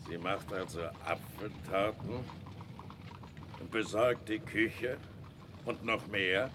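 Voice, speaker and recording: A bashful country carter in a vintage radio play, very slow and low-voiced. He is ponderous and plain-spoken, halting as he edges toward his point, with cart noise clearly behind him. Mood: shy, earnest. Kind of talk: 1960s German radio drama acting.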